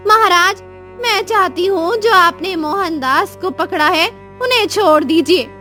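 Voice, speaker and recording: A woman's voice: extremely high pitch, measured pace, bright, forceful tone, soft background music, exaggerated voice. A woman voicing a forgiving, sorrowful village wife who pleads earnestly in a cartoon story. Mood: pleading. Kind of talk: Hindi cartoon moral story acting.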